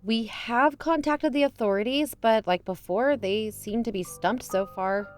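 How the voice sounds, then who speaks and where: A woman's voice: a little high, a little slow, lightly acted. A woman playing a level-headed, reassuring podcast co-host in a conversational audio drama. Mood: calm, reassuring. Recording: music under the voice.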